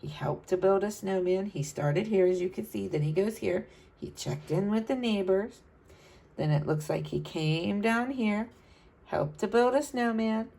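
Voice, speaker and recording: A woman reading a picture book aloud to children, animated and often speaking to them directly. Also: mid-range voice, unhurried, gravelly. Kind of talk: expressive read-aloud storytelling with asides to young listeners.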